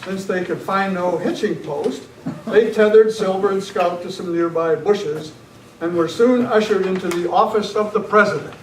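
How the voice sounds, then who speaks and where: A man's voice: high-pitched, moderate pace. A man reading scripted narration and announcements in the style of an old-time radio show, as an amateur. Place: club meeting room. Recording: roomy, distant camera mic, faint noise.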